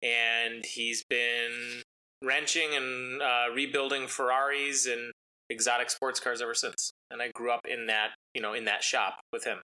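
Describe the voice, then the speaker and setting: Male guest, Italian first language, bright tone, loose rambling delivery with fillers. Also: medium pitch, a little slow, low-quality recording. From United States. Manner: rambling, relaxed, frequent ums and uhs. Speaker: interview guest, racing driver. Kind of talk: casual storytelling interview.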